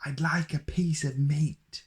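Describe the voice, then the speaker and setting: A man voicing a loud, bossy storybook character who booms his words, pitched well above his own voice. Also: fairly even intonation, takes it slowly. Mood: booming. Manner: boomed high and stretched out.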